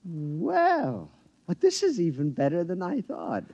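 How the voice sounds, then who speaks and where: An actor playing a henpecked husband with a gleeful secret scheme in an old radio drama, excitable, stammering and conspiratorial. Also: male voice, high-pitched, slow, vocal fry, slightly rough, no room sound, exaggerated voice. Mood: smug, delighted. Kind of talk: mid-century radio drama acting. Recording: dull, noisy vintage radio recording.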